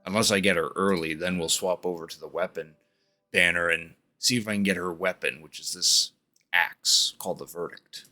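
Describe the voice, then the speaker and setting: A man giving casual livestream game commentary, informal and a bit halting as he talks. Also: deep voice, steady tone, pushed and bright. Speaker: game streamer. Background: game music.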